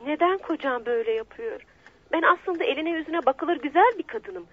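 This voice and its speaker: An actress playing a coquettish, distraught young betrayed wife in a radio play, speaking in a formal, pleading, melodramatic way. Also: female voice, sits high, expressive, normal speed. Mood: aggrieved. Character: distraught, coquettish 22-year-old betrayed wife seeking help. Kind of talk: radio theatre acting. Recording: dull lo-fi radio drama recording.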